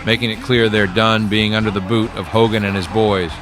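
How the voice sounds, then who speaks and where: A man's voice: on the low side, flat delivery, brisk, music in the background, close to an everyday voice. A man playing a serious wrestling show announcer, reading a scripted recap.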